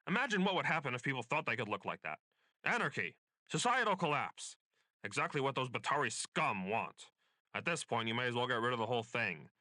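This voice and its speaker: A man acts out a domineering bureaucrat of a dystopian regime in a radio drama. His delivery is bright and forceful, swinging widely in pitch as he lectures with pompous scorn. The sound is dry and close. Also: big pitch swings, fairly quick, dry recording. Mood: dismissive. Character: pompous, bigoted state censor bureaucrat, domineering older man. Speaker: voice actor. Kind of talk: dystopian radio play acting.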